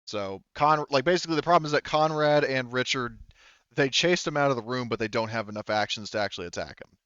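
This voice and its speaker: A man's voice: medium pitch, fast, fairly bright tone, smooth, no echo, muffled recording. A man running a tabletop roleplaying game, narrating informally with some swearing and thinking aloud as he goes. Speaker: game master.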